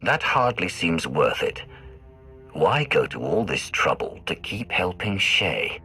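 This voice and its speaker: A man's voice: low voice, big pitch swings, pushed and bright, soft background music, heavily acted. A man playing a coolly menacing Sith in a video game, speaking with controlled authority. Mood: probing. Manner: controlled, authoritative, measured threats. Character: commanding, coolly menacing male Sith agent. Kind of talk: video game cutscene acting.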